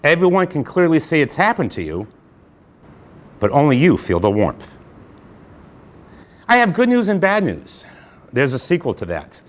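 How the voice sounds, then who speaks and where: Man hosting with playful jokes. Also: medium pitch. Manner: joking, playful. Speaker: show host. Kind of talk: jokey host commentary.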